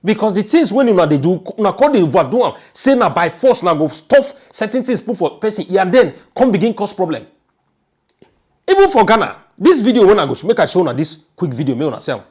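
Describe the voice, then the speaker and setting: A man's voice: high voice, big pitch swings, fast talker, darkish tone, breathy edge. A man with a Nigerian accent giving informal, emphatic storytelling commentary, often repeating his phrases. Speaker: online news commentator.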